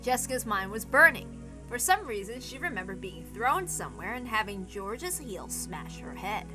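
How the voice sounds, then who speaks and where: High-voiced woman narrating over music. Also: very animated, normal speed, low-quality recording. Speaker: reader, narrator. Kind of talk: dramatic story narration.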